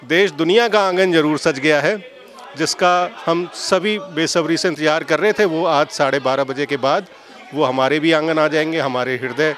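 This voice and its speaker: Fervent measured man in his forties. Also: on the high side, a bit bright. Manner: measured, fervent, a little formal. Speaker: newsroom colleague interviewed. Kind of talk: devotional vox pop. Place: indoor newsroom. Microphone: handheld mic held by reporter.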